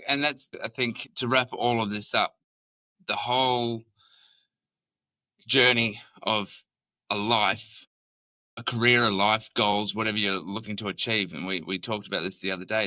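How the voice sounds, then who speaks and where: Male boss-host, lower pitch, bright tone, talks like a coach. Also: steady tone, moderate pace, no room sound. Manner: coaching, gives advice. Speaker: podcast host, company boss. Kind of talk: casual podcast chat.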